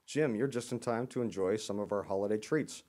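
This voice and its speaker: A man's voice: lowish voice, brisk, a little mellow, natural voice. A man voicing a jolly bullfrog in a children's storybook, hearty and exclaiming. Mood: jolly, inviting. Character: jolly croaking bullfrog offering holiday treats.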